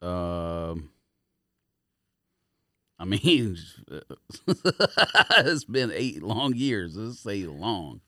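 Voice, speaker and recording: A man's voice: fairly low pitch, very animated, drawn-out words, long pauses, pushed and bright, no echo, studio quality. An American man co-hosting a podcast, trading casual, laid-back jokes. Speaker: podcast co-host. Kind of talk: casual banter.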